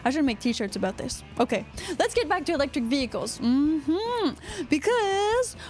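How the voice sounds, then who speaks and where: A woman's voice: sits high, big pitch swings, normal speed, a little mellow, faint music behind. A woman from Quebec with a Quebecois accent, co-hosting a casual live podcast, rambling and joking as she reads out chat comments. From Quebec, Canada. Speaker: podcast co-host.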